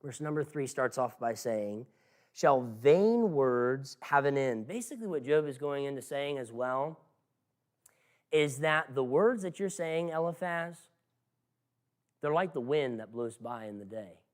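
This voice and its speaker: A man's voice: mid-range voice, animated, unhurried. A man preaching an expository sermon in an earnest, exhorting manner, emphatic and given to rhetorical questions. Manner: emphatic, swings from hushed to loud.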